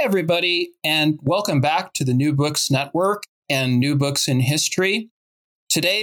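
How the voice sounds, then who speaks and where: A man's voice: fairly high pitch, a bit bright, poor audio. A man hosting an interview podcast, moving between prepared introductions and conversational questions. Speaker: podcast host.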